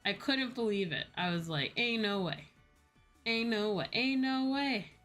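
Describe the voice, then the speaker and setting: An American woman livestreamer rambling casually to her viewers in an animated storytelling way, acting out bits of dialogue. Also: on the high side, a little slow. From United States. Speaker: VTuber livestreamer. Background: background music.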